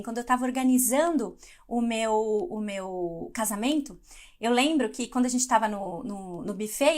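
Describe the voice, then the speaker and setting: A middle-aged woman from Brazil leads a live devotional in a chatty, conversational way, pausing to answer viewers. Her voice sits a little high for a woman. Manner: conversational, reads live comments aloud. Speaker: devotional speaker.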